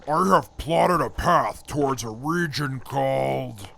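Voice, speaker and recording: A man's voice: mid-range voice, takes it slowly, gravelly, pushed and bright, slight background noise, exaggerated voice. A man playing a disdainful ex-army scout in an audio drama, dry, stern and formal. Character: gruff, disdainful ex-army wasteland scout, male. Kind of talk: post-apocalyptic audio drama acting.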